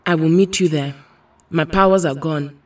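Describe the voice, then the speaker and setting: Dignified superhero heroine, slightly distant mic. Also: female voice, low voice, darkish tone. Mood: gentle, resigned. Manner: measured, formal, earnest phrasing. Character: Storm, dignified, gentle female mutant superhero. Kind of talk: audio drama acting.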